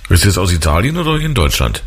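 A man's voice: low voice, sing-song, fast, slightly rough. A man hosting a radio interview, chatting loosely with many fillers. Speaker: radio host.

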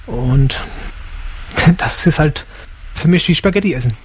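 A man raised bilingual, a guest on a radio show, answering in a relaxed way with short replies and easy laughs. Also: a little high, measured pace, breathy edge. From Germany. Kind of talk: radio interview.

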